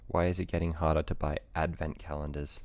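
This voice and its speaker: A man co-hosting a family podcast, casually chatting and telling stories with deadpan humor. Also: a bass voice, brisk. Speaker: podcast co-host. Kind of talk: casual chat and storytelling.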